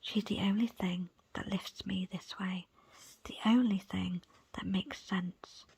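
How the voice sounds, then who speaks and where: A woman reading a book aloud as ASMR in a soft, breathy, unvoiced whisper, with deliberate pauses between short phrases. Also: mid-range voice, steady tone, no room sound. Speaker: audiobook reader. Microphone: close, dry microphone.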